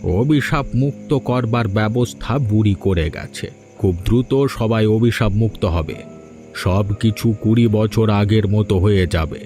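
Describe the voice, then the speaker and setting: A man's voice: on the low side, a little slow, dark tone, natural voice. A man voicing a stern village holy man in a cartoon fairy tale, making solemn, prophetic pronouncements. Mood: stern, ominous. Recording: background music under dialogue.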